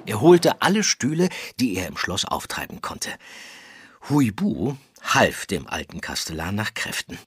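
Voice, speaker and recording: A man's voice: lowish voice, huge pitch swings, a little slow, slightly rough, no echo, heavily acted. A man narrating a children's audio drama as a storyteller, in scripted storybook style. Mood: storytelling. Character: storybook narrator telling a children's ghost tale.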